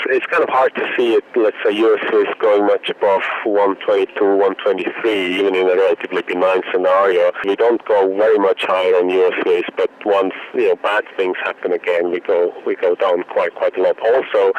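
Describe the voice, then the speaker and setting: Over a dull, lo-fi phone line comes a very low male voice. He is an analyst giving off-the-cuff expert commentary, halting and doubling back on words as he works out his answer. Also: flat delivery. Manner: hesitant, halting, repeating words as he thinks. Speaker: bank market analyst, interview guest. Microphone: telephone.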